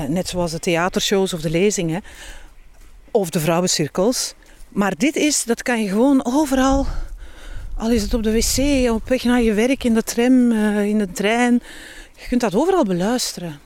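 Candid Flemish woman, middling pitch. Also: moderate pace. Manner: candid and expressive. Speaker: guest, author and healthy-lifestyle speaker. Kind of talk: casual interview chat.

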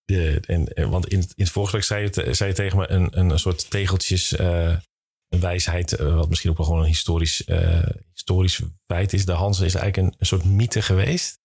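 A man's voice: low voice, fairly even intonation, moderate pace, vocal fry, a little mellow, breathy edge, no echo, clean studio sound. A man hosting a podcast. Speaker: podcast host.

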